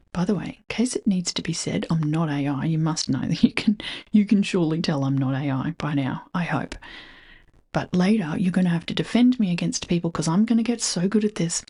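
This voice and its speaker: A soft-spoken Australian woman reads aloud and thinks out loud, slipping often into a whisper. Her voice sits a little low and carries a husky, breathy edge. She is close on the microphone, as in a voice-over. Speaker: channel host reading an old book. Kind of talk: soft-spoken rambling read-through. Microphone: close voice-over mic.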